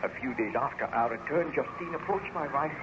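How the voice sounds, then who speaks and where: A man's voice: medium pitch, animated, fairly quick, close to an everyday voice. Male actor, haunted aristocratic scientist for vintage radio drama; fervent, formal, widely swinging delivery on muffled, noisy recording. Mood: reminiscent. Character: anguished baron scientist, married man haunted by his monstrous creation. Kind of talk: 1930s radio drama acting.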